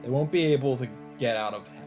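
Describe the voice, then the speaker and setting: A man with a mid-pitched voice reads visual novel narration aloud as a let's play host. He delivers it as dramatic storytelling, with game music softly behind him. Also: fast.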